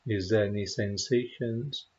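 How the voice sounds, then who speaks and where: Male meditation guide, lowish husky voice, gentle and very unhurried delivery, slightly muffled recording. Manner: gentle, unhurried, pausing often. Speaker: meditation teacher.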